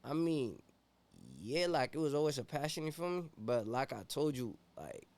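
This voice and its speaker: A man's voice: mid-range voice, animated, moderate pace, a little mellow. A young man from Ethiopia, a rapper guest in a casual interview, talking laid-back and slangy with constant "you feel me" fillers.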